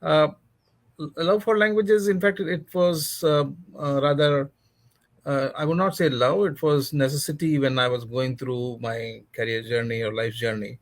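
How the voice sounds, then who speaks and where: A man's voice: mid-range voice, steady tone, moderate pace. Earnest male author from India, native Hindi speaker. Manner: earnest, with many restarts and fillers. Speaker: author and guest. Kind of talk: interview conversation.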